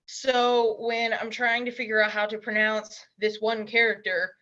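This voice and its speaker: A young woman being interviewed, talking casually and bluntly, with emphatic quick asides. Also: on the high side, flat delivery, pushed and bright, dull audio. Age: young adult. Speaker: interview guest, language learner.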